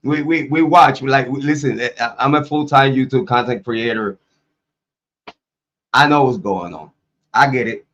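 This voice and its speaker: A man chatting loosely on a home livestream, his voice still a little worn from being sick. He repeats words, restarts sentences and laughs between thoughts. His pitch sits in the middle, and the sound is slightly dull. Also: moderate pace, long pauses, fairly bright tone. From Ohio, US. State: recovering from illness, voice coming back. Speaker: YouTube true crime host. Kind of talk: casual livestream chat.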